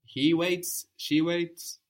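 A man's voice: on the high side, level tone, very slow. A man teaching a language lesson, patiently prompting his student with questions and brief praise.

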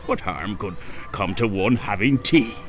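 A smug Irish kidnapper in a darkly comic radio play. He is pompous and mock-genteel, savouring his menace with big swoops of pitch. Music sits behind the voice. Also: expressive, a little slow, fairly bright tone. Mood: gloating relish. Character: smug, menacing male kidnapper and handler of assassins.

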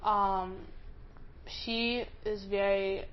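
A woman's voice: medium pitch, little pitch movement, extremely slow, muffled recording, slight background noise. Young woman from Russia, casual. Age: young adult. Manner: casual, many "like" fillers and self-corrections. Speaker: interviewee, college student. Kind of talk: casual interview answers.

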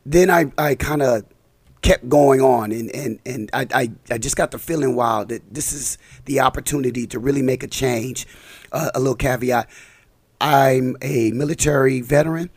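A man's voice: mid-range voice, moderate pace, dry recording. A man, a community council president, talking in a casual interview, a bit scratchy from a cold and rambling with restarts. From Los Angeles.

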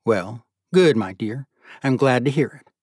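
A man voicing a gentle, fatherly older gentleman in an audiobook, measured and wry. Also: medium pitch, expressive, slightly rough, no echo, clean studio sound. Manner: measured, kindly, wry, formal wording.

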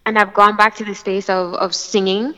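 A woman's voice: mid-range voice, level tone, normal speed, bright, forceful tone, smooth, long reverb tail, dull audio. A young Jamaican woman with a slight American influence, a singer talking casually and animatedly in an interview with many fillers and restarts. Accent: Jamaican, with some American influence. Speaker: dancehall singer, guest.

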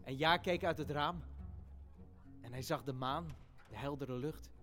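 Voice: mid-range voice, unhurried, a bit bright, faint music behind, close to an everyday voice. An adult actor playing a questioning, stubborn child who tells the tale expressively in a family audio play. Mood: quiet narrating.

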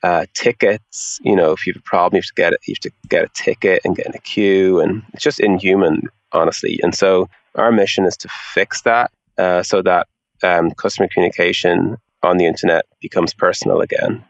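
A low-pitched man with a gravelly edge talks through his thinking as an interview guest. He is informal and explanatory, leaning on casual filler phrases. The recording is dry and a bit dull. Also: steady tone, a little fast, no echo. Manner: informal, explanatory, frequent "you know" and "like". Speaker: interview guest, tech product executive.

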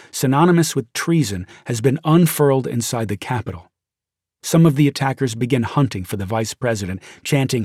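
A man with an American accent reads a nonfiction audiobook in a measured, grave and deliberate way, with dramatic weight. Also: mid-range voice, darkish tone, slightly rough, no room sound, clean studio sound. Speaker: audiobook narrator. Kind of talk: dramatic scripted audiobook narration of a nonfiction memoir. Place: dry recording studio. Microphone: close studio microphone.

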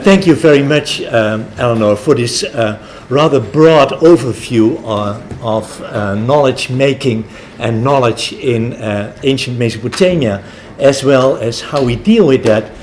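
A man's voice: mid-range voice, unhurried, hardly any pauses. Man, Dutch native, American English accent, hesitant, lots of fillers, faint noise. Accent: American English, Dutch first language. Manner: hesitant, many ums and uhs. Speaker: academic colleague giving vote of thanks. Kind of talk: informal spoken thanks.

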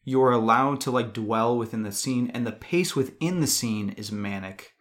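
A man with a mid-pitched voice speaks as a podcast co-host in a casual film discussion. He reasons things out analytically and drops swear words as he goes. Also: normal speed.

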